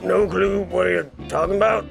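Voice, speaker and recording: Male actor as boozy old cartoon shopkeeper, very gravelly, deadpan, rambling, music underneath. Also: high voice, a little fast. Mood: gruff, offhand. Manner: blunt, rambling, drunken deadpan. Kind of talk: anime parody dub comedy.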